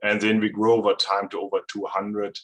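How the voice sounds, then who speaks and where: A middle-aged man with a German accent and a deep, low voice walks through slides on a video call. He explains things in a relaxed, easygoing way, with plenty of fillers. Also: fairly quick. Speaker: guest presenter. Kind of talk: casual technical presentation.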